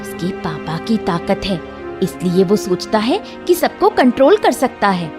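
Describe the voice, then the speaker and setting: A woman's voice: medium pitch, big pitch swings, a little slow, music in the background. A woman voicing a clever, bold and protective young sister in a story, confident and dismissive of danger.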